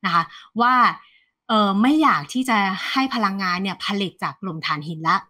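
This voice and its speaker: Bright, lively woman economist. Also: mid-range voice, a little fast. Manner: animated, refers to herself by nickname, mixes English terms. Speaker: economist guest. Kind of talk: conversational market analysis. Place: plain indoor room, video call.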